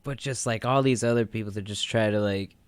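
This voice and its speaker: A man with a fairly low, clean and smooth voice talks casually as a radio co-host. He rambles through his stories in a loose, conversational way, recorded close and dry. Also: steady tone, fairly quick, no room sound. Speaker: co-host of a retrospective radio show. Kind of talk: casual chat.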